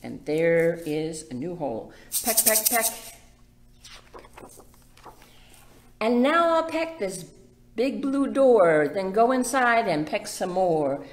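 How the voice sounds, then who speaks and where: A woman's voice: medium pitch, sing-song, a little slow. A woman voicing an eager, playful young woodpecker in a bouncy, singsong picture-book read-aloud. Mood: excited, eager. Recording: echoey room with background noise.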